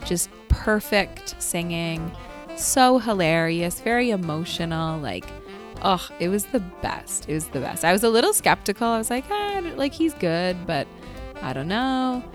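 A woman's voice: on the low side, very animated, unhurried, faint music behind. A young Canadian woman hosting a radio show in casual, chatty, enthusiastic co-host banter.

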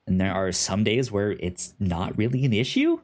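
A man hosting a podcast in casual chat, who stutters on first sounds and thinks out loud with many fillers. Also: lowish voice, huge pitch swings, moderate pace. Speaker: podcast host.

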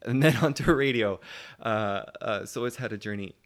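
A man's voice: on the low side, moderate pace. A man speaking as an interview guest in casual chat, loose and giggly, often trailing off mid-thought.

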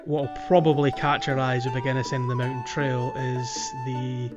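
A man's voice: medium pitch, little pitch movement, fairly quick, lo-fi sound, music in the background. A man co-hosting a podcast, talking through a video game casually and a little hesitantly. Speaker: podcast co-host.

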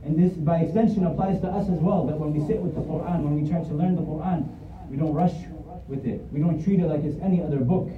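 A man's voice: a little high, steady tone, fast talker, crowd noise. A man giving an earnest religious lesson, repeating his points for emphasis and reciting verses in Arabic. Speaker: Islamic lecturer. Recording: tinny, echoey, distant phone-like sound.

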